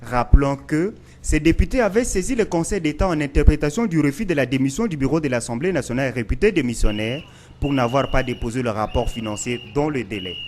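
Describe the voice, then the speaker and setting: Male news reporter, formal measured read, faint background noise. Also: medium pitch, expressive, a little mellow.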